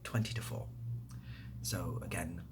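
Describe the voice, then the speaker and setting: A man chatting casually and softly, often nearly whispering, in a rambling, self-deprecating way as he answers questions. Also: low voice, unhurried, noisy background. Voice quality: soft, breathy, often near whisper. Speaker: ASMR video creator. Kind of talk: softly spoken casual Q&A chat. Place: indoors next to a window.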